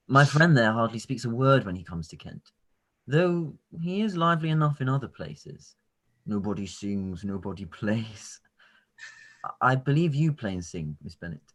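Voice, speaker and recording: Man acting an affable Regency gentleman officer. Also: medium pitch, very animated, normal speed. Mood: amiable. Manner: affable, courtly. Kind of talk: radio play live read.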